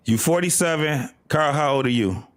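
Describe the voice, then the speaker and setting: A man's voice: on the high side, normal speed. Emphatic man in his fifties, debating casually. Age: 50s. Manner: emphatic, repeats points, explains at length. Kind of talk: heated casual panel discussion.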